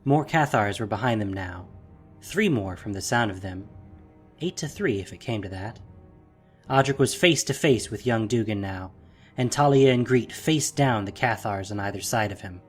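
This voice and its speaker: A man narrating a scripted audio drama in an expressive, theatrical manner. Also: lowish voice, normal speed, slightly rough, soft background music.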